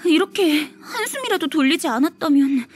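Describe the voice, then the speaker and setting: An actress playing a young, overworked, deferential office clerk in a video game, eager and pleading. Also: female voice, high voice, expressive, moderate pace, a little hiss or hum. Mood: pleading relief.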